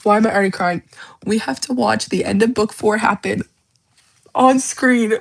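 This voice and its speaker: A woman's voice: mid-range voice, normal speed, creaky, low-quality recording. Young woman rambling on her live stream, gushy and emotional, keeps repeating herself. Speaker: livestream host. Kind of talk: casual livestream chat about a book series.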